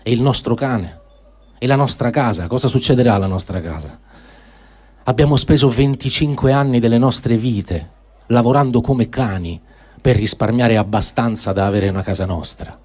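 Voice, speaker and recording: A man reads a first-person diary in speech, in measured, deliberate phrases, as a dramatic radio reading. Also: mid-range voice, a little mellow. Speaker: reader of written testimony on radio.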